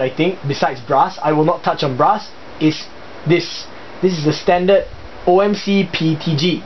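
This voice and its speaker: A young man with a Singaporean accent and a slightly high voice talks through his hobby gear in a casual, chatty way, often pausing on fillers as he explains. The recording sounds dull and lo-fi, with a faint hiss underneath. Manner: chatty, hesitant with many fillers. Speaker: hobbyist video host. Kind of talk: casual show-and-tell explanation.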